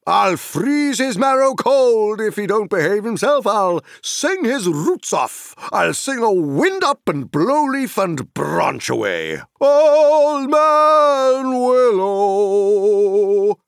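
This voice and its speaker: A man's voice: high voice, very animated, takes it slowly, vocal fry, smooth, no echo, clean studio sound, pitched above the performer's own voice, exaggerated voice. A man voicing a boisterous, jolly old forest dweller who sings and bellows in a rustic sing-song dialect. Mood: booming jolly. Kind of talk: audiobook character voice.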